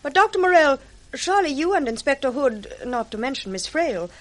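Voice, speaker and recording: A woman's voice: high-pitched, very animated, a little fast. A woman playing a composed, defiant fiancée in an old-fashioned radio mystery, speaking with pointed, clipped politeness. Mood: pointed, challenging. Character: sharp, defiant, composed female fiancée hiding guilt.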